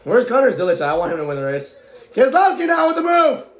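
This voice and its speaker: High-voiced excitable male race commentator. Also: quick pace, roomy sound. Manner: excitable, often shouting. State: voice going hoarse from strain. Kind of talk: excited live play-by-play commentary.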